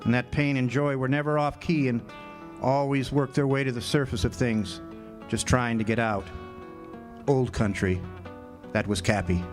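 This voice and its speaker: A man's voice: medium pitch, steady tone, normal speed, long pauses, natural voice. An actor playing a weathered, wry male drifter and Great War veteran, telling his story plainly in a 1940s radio drama style. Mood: fond. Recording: guitar music behind the voice. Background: acoustic guitar music.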